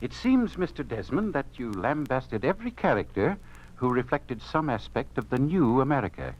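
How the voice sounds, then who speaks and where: A smug state censor from an old radio play, speaks with formal, pompous menace. His medium-pitched voice rises and falls widely on a dull, muffled vintage recording. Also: sing-song, moderate pace. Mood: stern, doctrinaire. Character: smug, cold communist state censor, a man. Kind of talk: 1950s radio drama acting.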